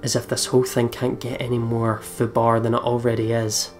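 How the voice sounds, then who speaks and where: A young adult man reads story text from a game in a dramatic storytelling manner. His pitch is average, with faint music playing underneath. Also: monotone, a little fast. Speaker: let's play host.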